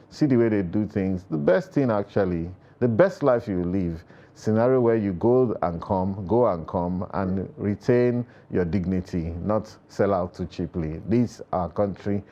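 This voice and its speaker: Nigerian male TV host, low and mellow. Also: lo-fi sound. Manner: lively, many short backchannels. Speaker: TV show host. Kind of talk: hosting a studio talk show.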